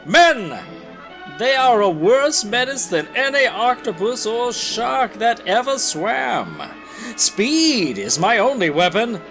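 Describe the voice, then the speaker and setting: A man's voice: extremely high pitch, sing-song, slow, over music, full character voice. A man voicing a brash, earnest golden-age undersea superhero, declaiming melodramatically for a comic-book reading. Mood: alarmed, dramatic.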